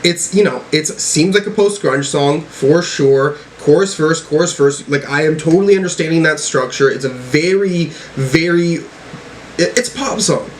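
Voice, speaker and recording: A man's voice: on the high side, moderate pace. Rambling man reviewing music, audible background noise. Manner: rambling, profane, frequently checking with "right?" and "you know". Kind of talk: casual album reaction commentary.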